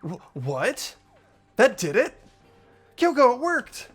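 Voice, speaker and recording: A man playing an earnest, hesitant, anxious high school boy in an amateur video game dub, narrating and speaking in character. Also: wildly swooping pitch, slow pace, breathy edge, full character voice. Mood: amazed. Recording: music behind the voice.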